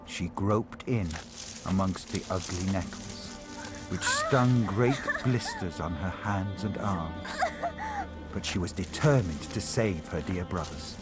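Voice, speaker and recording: A man performing as a dramatic, expressive storyteller narrating an animated fairy tale. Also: low voice, takes it slowly, heavily acted. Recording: loud music behind.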